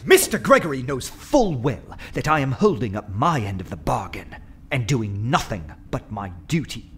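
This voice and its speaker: An actor playing a bold, sardonic poet posing as a fierce anarchist, oratorical and wry in a radio play. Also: male voice, mid-range voice, very animated, moderate pace, fairly bright tone, breathy edge. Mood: fiery oratory. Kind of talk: theatrical radio drama acting. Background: dramatic music.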